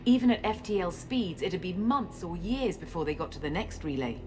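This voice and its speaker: A woman playing a guarded, self-assured scientist and space operative in a video game, explaining things in a measured way. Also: on the low side, expressive, lightly acted. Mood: composed, explaining. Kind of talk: video game cutscene acting. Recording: music under the dialogue.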